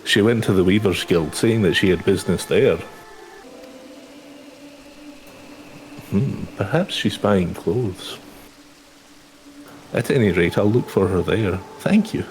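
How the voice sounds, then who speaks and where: Man voicing a low-voiced earnest young adventurer. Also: measured pace, slight background noise, poor audio. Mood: polite. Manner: formal, sincere, careful phrasing. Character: earnest, polite young male adventurer, determined yet shy. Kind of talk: fantasy game character read-aloud.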